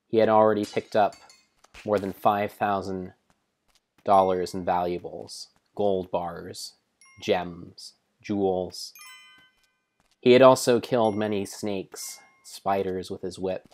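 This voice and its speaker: Man, low voice, theatrical storyteller, solemn, deliberate pauses, mock-dramatic game narration. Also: fairly even intonation, a little mellow. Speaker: live streamer narrating a game.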